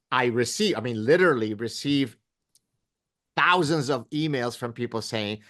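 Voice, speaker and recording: A man's voice: medium pitch, fairly bright tone. A man in his fifties, a professor guest in an interview, talking in an emphatic, sarcastic, lecturing way. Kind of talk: interview conversation.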